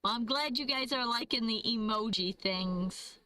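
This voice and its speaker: Young woman hosting a live trivia chat; fairly high, bright voice, unhurried delivery, chatty and quick to laugh while reading comments. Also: dry recording, faint music behind. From Michigan, USA. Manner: chatty, laughs often, reads viewer comments aloud. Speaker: livestream host. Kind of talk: casual trivia livestream chat.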